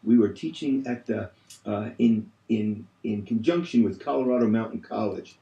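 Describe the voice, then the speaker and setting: Male interviewee, mid-pitched mellow voice, conversational recollection, roomy recorded sound. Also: normal speed, vocal fry, lo-fi sound.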